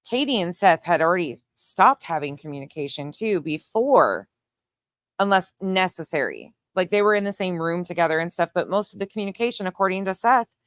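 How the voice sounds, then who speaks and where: A woman's voice: lowish voice, expressive, fairly quick, no echo, clean studio sound. A woman hosting an opinionated online case commentary, speaking in a pointed, emphatic, argumentative way. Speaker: commentary show host.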